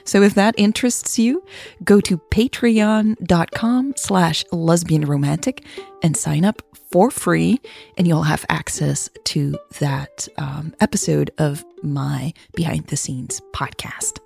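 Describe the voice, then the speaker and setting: Woman, podcast storyteller, unhurried pace, soft dark tone, creaky low voice. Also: fairly even intonation, faint music behind. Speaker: podcast host and audio fiction narrator. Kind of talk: podcast announcements and story narration.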